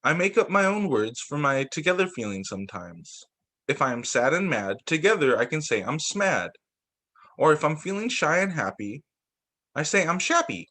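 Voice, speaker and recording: A man reading storybooks aloud as a teacher for young children, in an expressive way with the occasional stumble and re-read phrase. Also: a little high, normal speed.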